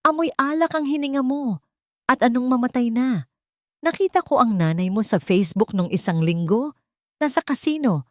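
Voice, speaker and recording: A woman voicing a combative old woman who scolds loudly in an audio story. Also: fairly high pitch, animated, moderate pace, no room sound, studio quality, voice pushed up, close to an everyday voice. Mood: scolding. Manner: shouting, scolding. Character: feisty, combative old woman defending a young mother.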